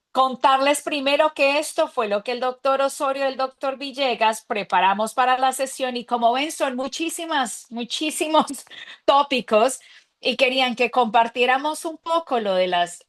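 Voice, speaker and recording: A woman's voice: fairly high pitch, fairly bright tone. A woman, an interventional cardiologist, giving a chatty, enthusiastic talk to colleagues over a video call. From Colombia.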